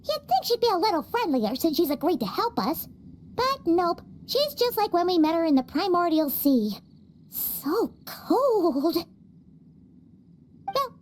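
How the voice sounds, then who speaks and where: A woman voicing a tiny, excitable, cheeky childlike female fairy in a video game, squeaky, chirpy and dramatic. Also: full character voice. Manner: chirpy, dramatic, speaks of herself in third person.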